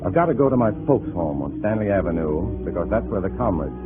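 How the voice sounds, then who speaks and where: An actor playing a bitter, tense undercover agent posing as a Communist, in hard-boiled 1950s radio style. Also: male voice, low-pitched, big pitch swings, fast. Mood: grim, brooding. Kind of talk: 1950s radio acting, hard-boiled first-person narration. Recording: muffled vintage radio drama, faint music.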